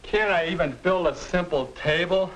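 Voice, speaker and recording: A young man with an American accent playing a sullen, defensive high school boy with no aim, grumbling in a 1950 instructional film. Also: moderate pace, a bit bright. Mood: brooding, frustrated. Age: young adult. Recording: noisy, clicking 1950 film soundtrack.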